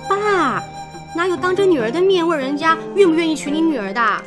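An actress playing a pert, bashfully indignant young daughter in a radio drama, with a scolding manner. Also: female voice, very high-pitched, expressive, a little fast. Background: music.

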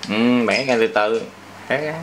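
A man's voice: mid-range voice, a little slow. A man with a southern Vietnamese accent chatting casually with a child as a father, giving short instructions. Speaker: father filming his child at play. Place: tiled room at home. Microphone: behind a handheld camera. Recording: very noisy room, clattering plastic pieces.

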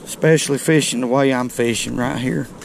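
A middle-aged man, chatting to viewers in a folksy, excited way while fishing from a riverbank, recorded on a chest-mounted camera outdoors. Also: medium pitch, fairly quick, creaky, noisy background. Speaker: fishing video host. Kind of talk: casual outdoor commentary. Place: outdoors on a rocky riverbank below a dam. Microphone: chest-mounted action camera.

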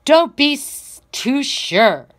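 A woman's voice: sits high, very animated, drawn-out words, pitched above the performer's own voice, full character voice. A proud farm dog from a picture book, acted by a woman reading aloud. He is haughty and indignant, speaking emphatically in a somewhat raised voice. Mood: challenging.

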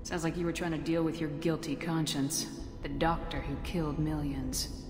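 A woman playing a firm, challenging military commander in a video game, direct, measured and probing. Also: low voice, little pitch movement, natural voice. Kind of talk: dramatic video game dialogue. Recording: echoey hall, music behind.

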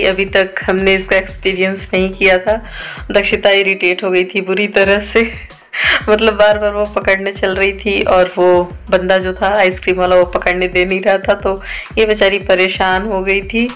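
A woman's voice: medium pitch, little pitch movement, moderate pace, a bit bright, soft background music. A woman in her thirties from India narrating her family's day out in a casual vlog voice-over, chatty and storytelling. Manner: chatty, storytelling, filler-heavy. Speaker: vlogger.

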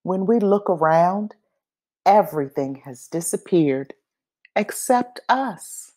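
Slow, low-voiced woman reading a picture book. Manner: expressive, acts out dialogue and pauses between phrases. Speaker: storybook reader. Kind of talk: children's picture book read-aloud.

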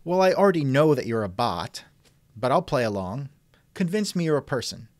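Male host for chat interview: mid-pitched, very expressive range, wry doubter. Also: moderate pace, no echo. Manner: wry, skeptical. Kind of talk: reenacted text-chat interview, conversational.

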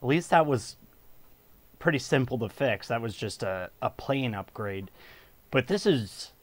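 A man's voice: mid-range voice, animated, measured pace, no echo. A man giving casual, exasperated commentary while playing a video game, in a hesitant way. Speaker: gamer recording a playthrough.

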